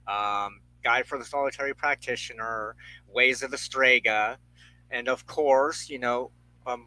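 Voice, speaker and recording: A middle-aged man speaks in a bright, forceful voice of medium pitch. His casual recollection comes slowly, with halting, thoughtful pauses. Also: rough recording. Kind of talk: casual conversation, personal recollection.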